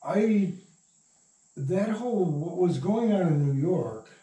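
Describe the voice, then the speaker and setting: Older male interviewee, soft mellow tone, slightly high pitch, loose rambling storyteller. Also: lively intonation, slow pace. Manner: rambling, circling back mid-sentence. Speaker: interview guest. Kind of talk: casual anecdotal storytelling. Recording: echoey room, distant mic.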